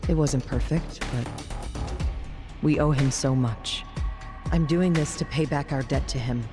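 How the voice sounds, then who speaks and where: An actress playing a proud, resolute female crime boss in a video game dub, speaking in a formal, measured and commanding way. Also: a bass voice, over music. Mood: resolved, earnest. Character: proud, resolute female underworld boss giving grave orders.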